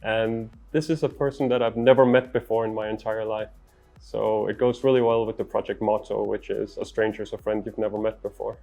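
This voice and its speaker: A middle-aged man from Denmark with a Danish accent, giving interview answers as a traveller in a measured, articulate, earnest way. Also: on the low side, level tone, vocal fry, dark tone, soft background music.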